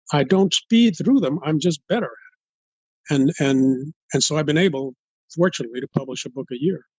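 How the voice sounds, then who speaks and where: An older man from Los Angeles in an interview as a guest author, speaking in a halting, thoughtful way and building his points step by step. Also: a little high, darkish tone, slightly rough, no echo, highs cut off. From United States, Los Angeles. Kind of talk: interview conversation.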